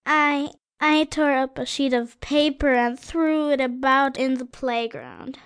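A tearful, earnest little schoolboy from a storybook. His voice sits very high and sounds quite unlike an adult's, and he pleads eagerly and sincerely. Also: animated, slow pace, a bit bright, heavily acted. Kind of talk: storybook read-aloud.

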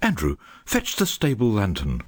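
A proper country vicar for a storybook, flustered and earnest. The man's voice is husky and deep, with wildly swinging pitch. He speaks with deliberate, pompous exclamations. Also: huge pitch swings, heavily acted. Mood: grave, flustered.

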